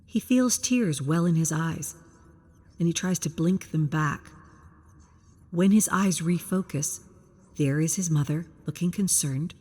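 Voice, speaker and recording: A woman's voice: deep voice, unhurried, long pauses, dark tone, breathy edge, soft background music. A woman reading a scripted story as a dramatic, suspenseful narrator. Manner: present-tense suspenseful storytelling.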